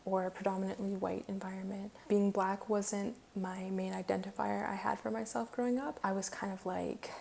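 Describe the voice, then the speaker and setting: Young Canadian woman, plenty of vocal fry, flat delivery, personal testimony with light hiss. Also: mid-range voice, moderate pace, hardly any pauses. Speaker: community project participant.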